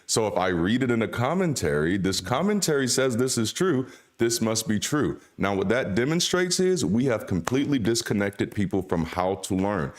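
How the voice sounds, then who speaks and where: A man's voice: fairly low pitch, sing-song, moderate pace, hardly any pauses. A man co-hosting a casual live-stream chat, ranting bluntly and with exasperation.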